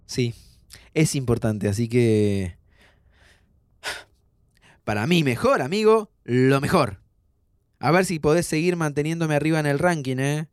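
Animated, playful young Argentine man. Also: fairly high pitch, unhurried, long pauses, smooth, dry recording, studio quality. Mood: tender, teasing. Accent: Argentine Rioplatense. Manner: bantering, joking through emotional moments. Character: playful, wisecracking young man, loyal best friend, terminally ill. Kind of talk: naturalistic audio fiction acting.

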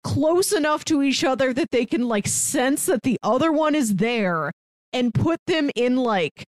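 A woman's voice: high voice, expressive, a little slow, no echo, clean studio sound. A woman co-hosting a casual film podcast over a remote call, chatty and laughing as she talks. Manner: chatty, laughs while talking. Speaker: podcast co-host.

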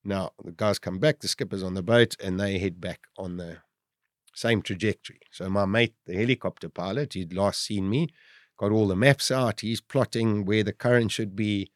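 A South African man in his fifties telling his own survival story in a loud, animated way. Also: moderate pace, no echo, clean studio sound. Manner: loud, animated storytelling with big pitch jumps. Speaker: guest storyteller.

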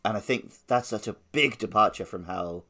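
A man's voice: on the low side, moderate pace, sharp tone. A man co-hosting a podcast, talking casually about a video game. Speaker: podcast co-host.

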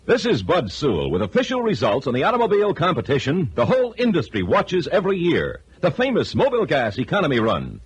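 Old timey radio announcer guy, 50s ad read, huge swoopy pitch, raspy. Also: huge pitch swings, fast talker, few pauses. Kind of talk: 1950s radio announcing and advertising. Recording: vintage radio recording.

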